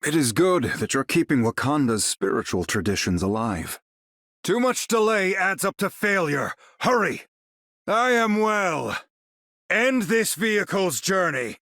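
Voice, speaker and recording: An actor playing a proud, battle-ready sorcerer hero in a video game, speaking in a grandiose, formal, theatrical manner. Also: male voice, high voice, very animated, unhurried, fairly bright tone, no room sound, clean studio sound, heavily acted. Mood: commanding, urgent. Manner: grandiose, formal, incantatory delivery. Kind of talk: video game character voice lines.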